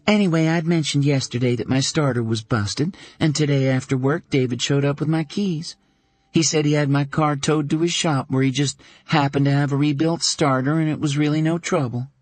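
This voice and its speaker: A man's voice: on the high side, steady tone, normal speed, darkish tone, pitched above the performer's own voice, natural voice. A man voicing an uneasy, guarded woman who answers tersely and defensively. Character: anxious, guarded adult woman, flustered by romance.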